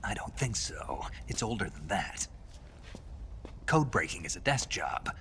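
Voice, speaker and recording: A deep, gruff covert soldier. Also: male voice, big pitch swings, a little slow, fairly bright tone, slight background noise, heavily acted. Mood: guarded, probing. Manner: terse, guarded, questioning. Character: gruff, scarred, terse male soldier on covert mission. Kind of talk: dramatic video game voice acting.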